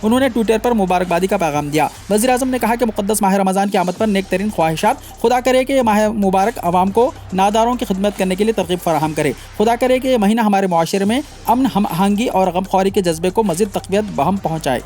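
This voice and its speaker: A man's voice: sits high, fast, hardly any pauses, a little mellow, slight background noise. A man reading scripted news in a formal manner, delivering headlines and stories as a news reader.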